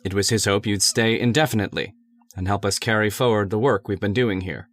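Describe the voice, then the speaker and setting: A man's voice: fairly low pitch, steady tone, brisk, natural voice. A man playing an earnest, persuasive laboratory assistant in a radio drama, polite and formal. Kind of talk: old-time radio drama acting.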